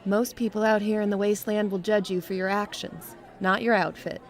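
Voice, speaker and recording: A woman's voice: medium pitch, steady tone, a little fast, close to an everyday voice. An actress playing a friendly, hospitable settlement woman in an audio drama, welcoming and lightly teasing. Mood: friendly, advising.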